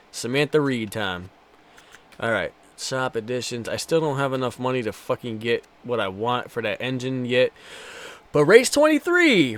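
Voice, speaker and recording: A man's voice: medium pitch, fairly bright tone. A man in his twenties doing casual, foul-mouthed live commentary while streaming a racing game, bantering jokily with viewers.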